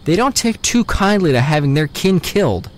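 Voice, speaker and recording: An actor playing a calm, protective young man in an audio drama, reassuring and matter-of-fact. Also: fairly high pitch, moderate pace. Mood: cautioning.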